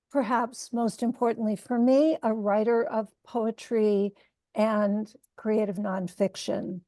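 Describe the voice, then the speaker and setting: A woman hosts an informal event over a video call. Her voice is fairly high and close and dry, and she talks slowly, pausing hesitantly between short phrases. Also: dark tone, no echo. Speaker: host, author introducing readers. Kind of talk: informal spoken introduction at online event.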